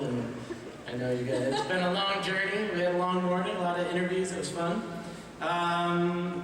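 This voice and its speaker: A man hosting a live panel in a casual, informal and slightly hesitant way. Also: high voice. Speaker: panel moderator. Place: large convention panel hall. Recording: echoey hall, distant, noisy crowd.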